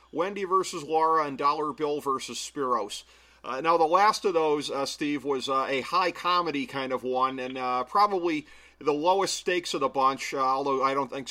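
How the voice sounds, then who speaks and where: A man hosting a podcast, counting down a list and talking through it in a measured way with many "uh"s. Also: medium pitch, bright, forceful tone. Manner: measured, filler-heavy analysis. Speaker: podcast host. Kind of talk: casual podcast chat.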